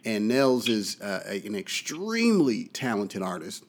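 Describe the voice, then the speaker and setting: A man hosting a casual talk radio show from a studio, relaxed and full of uh and mm-hmm fillers. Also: lowish voice, a little slow. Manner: relaxed, fills his speech with uh and mm-hmm. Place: studio in Austin, Texas.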